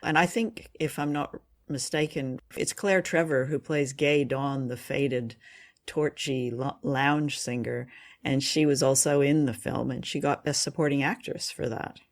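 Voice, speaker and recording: Woman giving measured, thoughtful opinions. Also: very deep voice, steady tone. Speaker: podcast co-host. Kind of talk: casual review chat about an old radio play.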